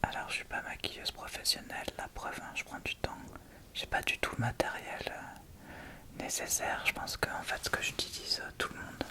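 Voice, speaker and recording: A woman giving an informal ASMR makeup tutorial, talking through each step in a hesitant, unhurried way. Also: sharp tone.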